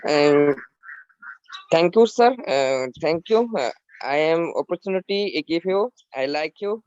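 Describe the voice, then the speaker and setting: A man from Bangladesh speaking haltingly in broken English on a video call, with many fillers. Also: on the high side, lively intonation, darkish tone, clean tone, no room sound. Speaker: webinar participant. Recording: muffled lo-fi call audio.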